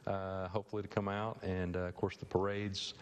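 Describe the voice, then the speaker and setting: A middle-aged man speaking as a guest on a local TV show, halting and full of fillers, on a lapel mic. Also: deep voice, level tone, clear, resonant tone, in a large hall. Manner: hesitant, many uh fillers. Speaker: bank representative guest. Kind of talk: live TV interview. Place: TV studio set.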